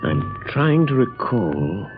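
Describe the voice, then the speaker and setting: An actor playing a suave, thoughtful amateur sleuth, measured and urbane, in 1940s radio drama style. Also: male voice, medium pitch, big pitch swings, music in the background. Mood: grave, resolute. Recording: dull old radio recording.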